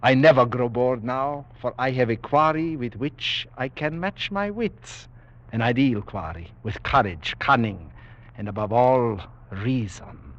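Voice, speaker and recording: A man's voice: mid-range voice, animated, measured pace, close to an everyday voice. A man plays a suave aristocratic general and hunter in a vintage radio drama. He is courtly, menacing and boastful, savoring each word with swooping intonation. The old 1940s broadcast sounds dull and narrow. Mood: relishing, intense. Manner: courtly, formal, coolly boastful, savoring his words. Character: suave, menacing aristocratic general who hunts men for sport.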